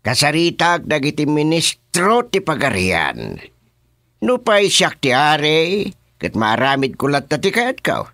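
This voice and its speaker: An actor playing a boastful king in a comic Ilocano radio drama, declaiming deliberately with an Ilocano accent. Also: on the high side, huge pitch swings, a bit bright, dry recording, clean studio sound, exaggerated voice. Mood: explaining. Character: boastful fairy-tale king, father of a princess.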